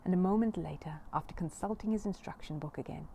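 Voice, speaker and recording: A woman narrates a children's story with expression. Her voice is slightly low and very mellow, and there is faint noise behind it. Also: quick pace, creaky, muffled recording. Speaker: storybook reader.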